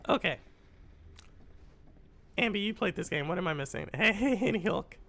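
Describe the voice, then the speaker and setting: A game streamer with a raspy, congested voice that has a gravelly edge and a bright, sharp tone. The talk is chatty, and they keep muttering to themselves while they search the screen. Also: medium pitch, animated, moderate pace. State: congested from a cold. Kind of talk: live gameplay stream with chat.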